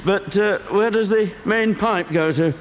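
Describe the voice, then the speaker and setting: A man's voice: sits high, unhurried. An actor playing a doting, blustering rich father in a children's audio drama, fretful and fussy. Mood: alarmed. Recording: faint hiss, 1990 cassette dramatisation.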